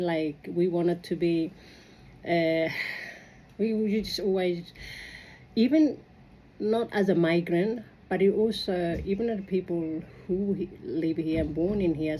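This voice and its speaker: A woman who speaks English as a second language, reflecting casually on her own experience as a migrant in a halting, rambling, earnest way. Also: on the low side, mellow, slight background noise, low-quality recording. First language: non-native English speaker. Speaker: migrant sharing personal experience.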